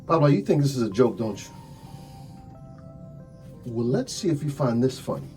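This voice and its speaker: A man playing a calm, methodical, sardonic police detective in an ASMR roleplay, talking deliberately with drawn-out phrasing and wry asides. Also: medium pitch, natural voice. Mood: pressing, sardonic. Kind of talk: slow ASMR roleplay acting. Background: music.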